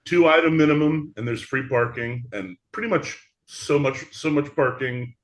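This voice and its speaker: A man's voice: fairly high pitch, normal speed, low-quality recording. A man talking casually and reflectively as a guest in an interview about his creative work.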